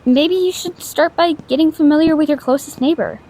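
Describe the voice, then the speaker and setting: Woman voicing a high-pitched, stammering, shy ghost girl. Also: normal speed, slight background noise, rough recording. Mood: tentative. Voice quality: soft stammer. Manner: hesitant, apologetic, self-doubting, rambling. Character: shy, awkward, stuttery young female ghost, anxious and kind. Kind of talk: intimate roleplay audio drama.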